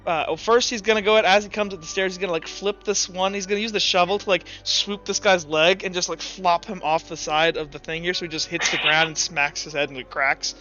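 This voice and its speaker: High-pitched, bright-voiced man running a game. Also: fast, few pauses. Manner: profane, informal, animated storytelling. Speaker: game master. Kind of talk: casual tabletop game narration and rulings. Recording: slightly dull, music playing underneath. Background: background music.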